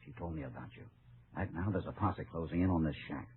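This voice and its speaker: A muffled old radio recording carries a steely American cowboy lawman. His voice is very low, and he speaks with grave, measured firmness. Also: slightly rough. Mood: urgent, steady. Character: calm, steely cowboy lawman hero of a western. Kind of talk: 1950s American radio western acting.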